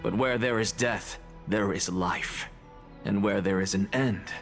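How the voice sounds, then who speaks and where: An actor playing an arrogant, theatrical professor obsessed with truth, lecturing grandly and deliberately in a video game. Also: male voice, fairly low pitch, faint music behind, close to an everyday voice. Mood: grandiose. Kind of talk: dramatic video game voice acting.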